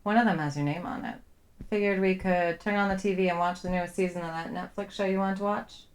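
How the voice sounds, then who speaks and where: A woman's voice: medium pitch, steady tone, fast talker, clear, resonant tone, lightly acted. A young woman playing a caring, protective best friend in a conversational comfort roleplay, supportive and blunt. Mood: casual, curious. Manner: casual, supportive, blunt, sweary.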